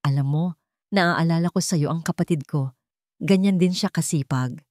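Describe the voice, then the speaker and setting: A woman with a Filipino accent voicing a guarded young undercover policewoman in an audio drama, measured and careful. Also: low voice, a little mellow, dry recording, studio quality, natural voice. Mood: gentle, careful.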